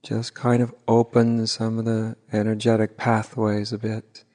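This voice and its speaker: A man leading an unscripted guided meditation as a teacher, gently and unhurriedly, with long pauses. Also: on the low side, monotone. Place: large reverberant room at a retreat. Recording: hall reverb, live retreat recording.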